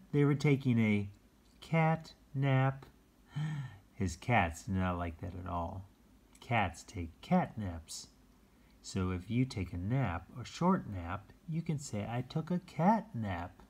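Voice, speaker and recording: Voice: medium pitch, expressive, slow pace, a little mellow, slightly rough. A person reading a children's picture book aloud in an informal, chatty way, adding asides and explaining words simply to young listeners.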